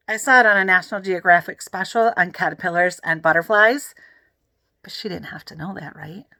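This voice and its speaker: A woman reading a children's book aloud in an expressive, animated way, with chatty asides to the listeners. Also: lowish voice, no echo. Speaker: read-aloud narrator.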